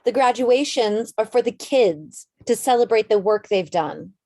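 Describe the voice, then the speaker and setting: A woman's voice: on the high side, lively intonation, a little slow, darkish tone. A woman playing a smug, bossy soccer mom in her mid-thirties, speaking with indignant emphasis in a comedy table read.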